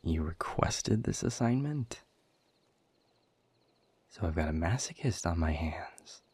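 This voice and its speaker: A world-weary demon in charge of a haven, acted out by a man in an audio roleplay. He speaks slowly and very low, drawling sarcasm in polished, wordy sentences. The voice is heavily husky and breathy. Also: lively intonation, a little mellow, no room sound, heavily acted. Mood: wry, mocking. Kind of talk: scripted audio roleplay monologue addressed to the listener.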